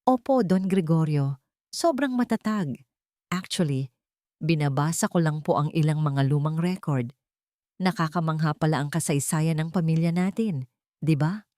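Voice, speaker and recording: A betrayed wife in an audio story , played by a woman narrator. She is determined and guarded, speaking pointedly in a lowish, dry-recorded voice. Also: normal speed, pauses often, mellow, no echo, close to an everyday voice. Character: betrayed, determined wife hiding her anger.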